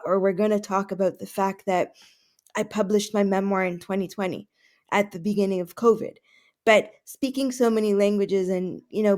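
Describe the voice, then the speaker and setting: A woman of middle pitch whose first language is Russian, talking in an earnest, searching way. She pauses often on fillers and corrects herself mid-sentence as she answers a podcast host. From Canada.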